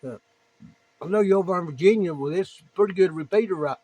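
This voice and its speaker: A man's voice: high voice, fast talker, darkish tone, breathy edge, poor audio. A man chatting casually as an amateur radio operator, plainspoken and prone to repeating himself. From United States. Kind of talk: casual ham radio chat.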